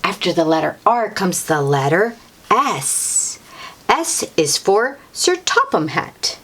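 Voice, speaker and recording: A woman's voice: lowish voice, very animated, takes it slowly, a bit bright. A woman hosting a children's read-aloud, reading a picture book in a teacherly way, repeating and stressing key words and talking directly to the young listener.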